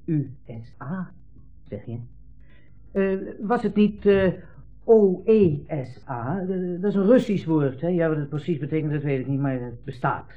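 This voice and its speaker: A man's voice: on the high side, expressive, a little slow, faint music behind. An actor playing a smug, insinuating army captain in a 1960s radio play, hemming as he puts condescending, leading questions. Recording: muffled old radio recording, narrow band.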